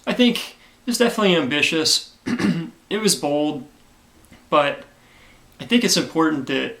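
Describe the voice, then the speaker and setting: A man who created a comic gives an earnest, grateful update to his backers, hoarse from a cold and filling his talk with "uh". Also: a little high, expressive, unhurried, a bit bright, reverberant. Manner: earnest and grateful, many fillers. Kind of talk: casual direct-to-camera talk.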